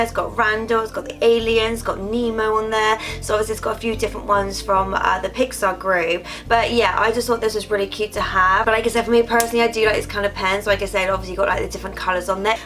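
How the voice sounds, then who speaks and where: A woman's voice: on the high side, bright, forceful tone. Chatty woman with a stuffy nose. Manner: chatty, rambling with fillers. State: nasal from a cold. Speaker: vlogger. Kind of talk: casual haul chat.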